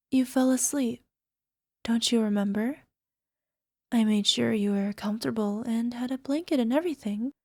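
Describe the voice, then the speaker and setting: A woman playing a young, sweetly possessive yandere alchemist girlfriend in an ASMR roleplay, coaxing softly and sometimes slipping toward a whisper. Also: a little high, no echo, clean studio sound. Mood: tender, doting. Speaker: voice actor. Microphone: close microphone, dry.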